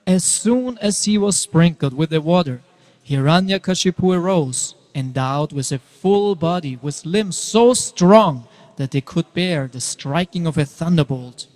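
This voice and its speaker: Higher-pitched male narrator in a reverberant hall. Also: expressive, a little slow, a little mellow. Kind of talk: scripted narration of a devotional story.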